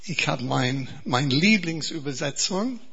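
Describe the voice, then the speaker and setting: English guy preaching in german, slow and earnest, bright but kinda breathy. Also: fairly high pitch, animated, dull audio. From England. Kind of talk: sermon.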